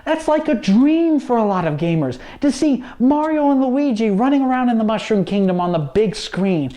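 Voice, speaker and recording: A man's voice: very high voice, expressive, moderate pace, mellow. A man co-hosting a show, giving unscripted opinion commentary in an emphatic, earnest way. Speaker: show co-host.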